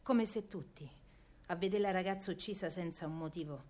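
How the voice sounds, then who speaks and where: A woman's voice: lowish voice, a little fast, darkish tone, natural voice. Actress playing feisty wartime Roman woman, working-class, Roman accent, earthy, colloquial, theatrical first-person storytelling, radio drama. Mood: hushed, grief-stricken.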